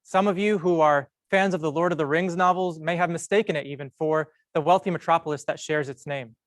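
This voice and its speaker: Young man, earnest storytelling talk, measured pace with pauses, slightly high voice. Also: fairly even intonation, dry recording. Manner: measured, earnest delivery with slow emphatic pauses. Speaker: medical student speaker.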